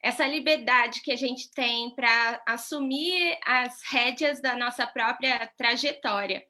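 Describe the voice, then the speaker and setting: Brazilian woman doctoral student, bright high voice, reflective and measured. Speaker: PhD student panelist.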